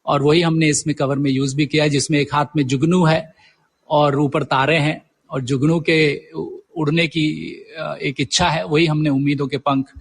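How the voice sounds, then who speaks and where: A man's voice: fairly high pitch, level tone, moderate pace, husky. A middle-aged man answering as a guest writer in a conversational interview, hesitantly searching for his words.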